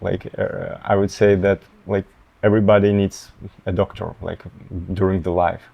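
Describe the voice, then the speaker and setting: Low creaky man with a Russian accent. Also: level tone, highs cut off. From Tatarstan, Russia. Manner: halting, searching for words, many fillers. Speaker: medical student guest. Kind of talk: interview answers.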